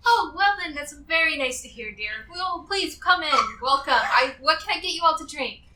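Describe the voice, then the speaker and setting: A woman's voice: high-pitched, expressive, normal speed, sharp tone. A woman voicing a doting, genteel mother in a tabletop game, who can be fierce or sweetly fussy. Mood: sweet, hospitable. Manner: fussy, genteel, calls people dear. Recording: roomy, noisy, distant group mic.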